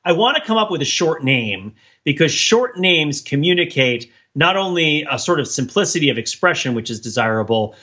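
A man's voice: on the high side, big pitch swings, moderate pace, few pauses, bright, forceful tone, husky, lo-fi sound. A man co-hosting a casual analytical podcast, explaining things at length in a discursive, professorial way. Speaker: podcast co-host.

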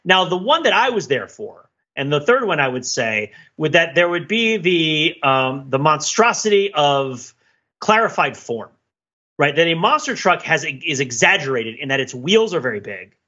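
A man's voice: fairly high pitch, big pitch swings, normal speed, pushed and bright, lo-fi sound. A middle-aged man in a casual podcast discussion, wordy and mock-academic as he lays out his points. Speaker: podcast panelist.

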